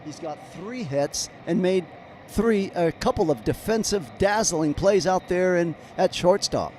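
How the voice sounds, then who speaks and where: A slightly hoarse man doing live play-by-play commentary of a baseball game, calling each pitch as it happens. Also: a little slow, a little mellow, a little hiss or hum. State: slightly hoarse, clearing throat.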